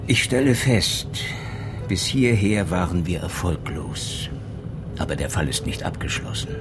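A man playing a persistent, eloquent police inspector in a radio crime drama, speaking deliberately and theatrically. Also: sits low, animated, music in the background. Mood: probing. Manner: deliberate, emphatic, rhetorical.

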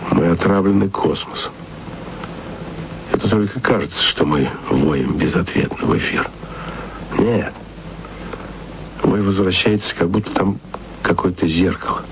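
An actor playing a lovesick man who speaks to a distant woman in a slow, intimate, half-whispered voice, in a radio drama. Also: deep voice, background noise.

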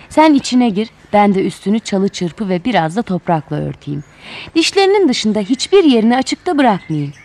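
Woman voicing a sly, animated storybook fox. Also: mid-range voice, close to an everyday voice. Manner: sly, condescending, persuasive. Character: cunning, boastful fox trickster. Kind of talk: children's storybook character voice.

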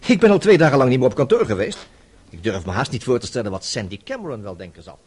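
An actor playing a brisk, inquisitive investigator in a Dutch radio play, eager and probing in conversation. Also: male voice, medium pitch, big pitch swings, darkish tone, breathy edge. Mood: eager, curious. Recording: radio play with noisy background ambience.

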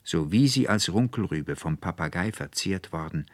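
Mann spielt ernste, entschlossene junge Frau, emphatisch. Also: male voice, sits low, animated, moderate pace, dry recording. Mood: earnest confession. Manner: emphatic, formal old-fashioned declaiming. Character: earnest young woman confessing, resolute and effusive. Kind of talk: literary audiobook character dialogue.

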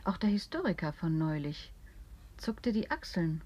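A woman acting a sober, questioning companion in a German radio play, with a measured, dry delivery. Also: on the low side, big pitch swings, darkish tone. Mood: quiet, pensive.

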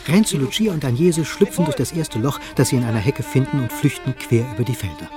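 A man giving expressive, dramatic scripted narration as the storyteller of a radio play. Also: mid-range voice, fast. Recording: studio narration over music.

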